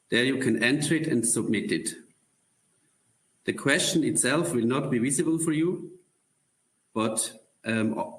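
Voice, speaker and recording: A man presenting a corporate career webcast, halting with many pauses and throat clears. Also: medium pitch, echoey room.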